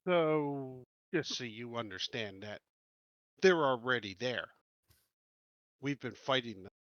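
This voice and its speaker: A man playing a tabletop roleplaying game, speaking casually in character in a measured, halting, diplomatic way. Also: mid-range voice, expressive, a bit bright, no room sound, dull audio.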